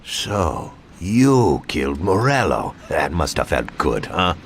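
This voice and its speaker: A man's voice: a bass voice, big pitch swings, slow, a little hiss or hum, full character voice. A man playing a skeptical, sardonic interrogator with an American accent, probing a confessing gangster in a game cutscene. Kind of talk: dramatic game cutscene dialogue.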